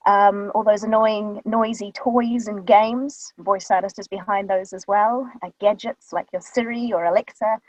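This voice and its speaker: A woman's voice: medium pitch, darkish tone, no echo. A woman with a New Zealand accent, a voice artist explaining her work in casual, chatty talk with many ums, speaking into a studio microphone in a small vocal booth. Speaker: voice artist guest. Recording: dull, dry booth sound.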